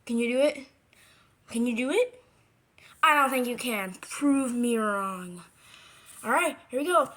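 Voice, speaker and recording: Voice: mid-range voice, animated, unhurried, pauses often, a bit bright. A video creator giving a casual how-to craft tutorial, rambling, correcting themselves and repeating points for emphasis. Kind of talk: casual DIY how-to vlog talk.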